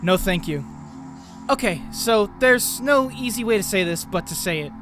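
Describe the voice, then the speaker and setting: An earnest, nerdy teenage boy acted by a man speaks in a high, animated voice. He sounds anxious and expressive, telling his story in a dubbed comic, with long reverb around him and music underneath. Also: moderate pace. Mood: urgent, anxious. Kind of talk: dramatized comic dub, dialogue and first-person narration.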